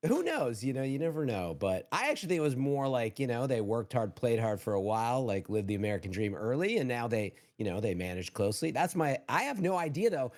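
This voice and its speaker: A man's voice: mid-range voice, sing-song, fairly quick, few pauses, a little mellow. A man co-hosting a gaming podcast, telling anecdotes in informal, slangy, casual chat. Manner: informal, peppered with "like" and "dude". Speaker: podcast co-host.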